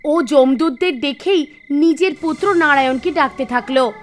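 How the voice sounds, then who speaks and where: A woman reading scripted narration for an animated devotional tale, with music behind her. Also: high voice, normal speed. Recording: music bed under the voice. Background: background music.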